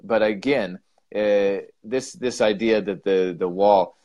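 Man, low voice, casual chat, blunt and emphatic, stumbling restarts. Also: slow, dark tone. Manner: opinionated, emphatic, with frequent restarts. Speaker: co-host.

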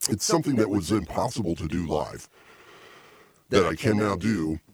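A middle-aged man hosting a casual comedy show, rambling and teasing with deadpan insults, on a small desk mic in a home music studio. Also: sits low, moderate pace, husky, dry recording. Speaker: podcast host. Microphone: small desk mic stand.

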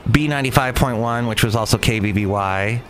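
Casting: middle-aged American man, medium pitch, conversational and digressive storyteller, slightly noisy recording. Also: brisk, a bit bright. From Southern California, United States. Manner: conversational, self-correcting, digressive. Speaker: veteran radio broadcaster telling personal stories. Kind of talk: casual rambling monologue of reminiscences.

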